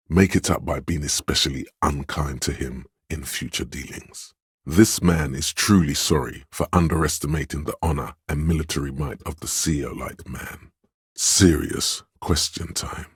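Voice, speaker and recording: Game role: stern, imperious male voice of authority, extremely low register, dry close sound, declamatory delivery. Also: breathy edge, no echo, full character voice. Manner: declamatory, imperious, clipped pronouncements. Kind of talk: scripted video game narration and voice acting.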